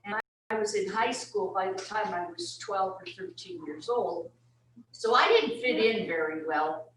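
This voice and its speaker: An older woman from England, a retired teacher, telling her story at a community centre meeting in an unhurried way. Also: mid-range voice, lively intonation, slight background noise. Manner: unhurried, warm, laughs at herself. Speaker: retired teacher, board candidate. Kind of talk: personal speech at a meeting. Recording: echoey room, far from recorder.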